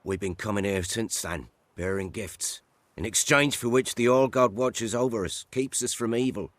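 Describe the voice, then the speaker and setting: A man's voice: lowish voice, normal speed, fairly bright tone, no echo, lightly acted. An actor playing a pious, quarrelsome male villager in a video game, with blunt rustic speech. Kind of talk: video game character acting.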